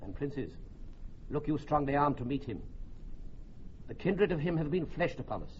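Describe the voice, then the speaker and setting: A man's voice: fairly high pitch, very animated, normal speed. An actor playing a grave, wary French king, stately and solemn, in a classical radio drama. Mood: grave warning. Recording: dull, faintly noisy old radio recording.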